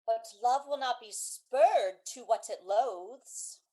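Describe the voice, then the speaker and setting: A woman's voice: fairly high pitch, slow, mellow. A woman playing a lovelorn young woman muttering sardonic asides in a Shakespearean radio comedy. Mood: bitter, mocking.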